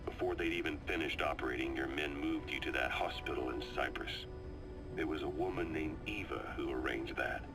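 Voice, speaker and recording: A man playing a seasoned, calm and knowing military adviser in a video game, briefing his commander in a measured, confiding storytelling delivery. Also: extremely low pitch, poor audio. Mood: grave, ominous. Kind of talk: video game voice acting, dramatic briefing monologue. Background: music.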